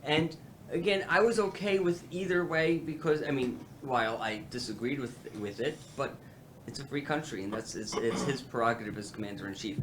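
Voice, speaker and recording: A man's voice: mid-range voice, normal speed, a bit bright, slight background noise, rough recording. A man, president of a policy institute, hosting a roundtable and speaking with many fillers and restarts. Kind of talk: halting roundtable remarks.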